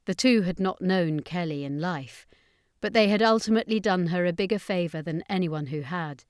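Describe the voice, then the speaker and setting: A woman's voice: fairly low pitch, normal speed, darkish tone, no room sound, studio quality. A woman reading a horror fantasy story as scripted audiobook narration.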